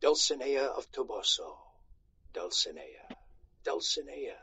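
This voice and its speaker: A man voicing a grandiose, deluded gentleman knight in a storybook, declaiming pompously, on a slightly muffled recording. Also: medium pitch, big pitch swings, takes it slowly, creaky, a little hiss or hum, heavily acted. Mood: adoring. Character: grandiose, deluded old-fashioned Spanish knight, lean gentleman. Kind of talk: storybook read-aloud.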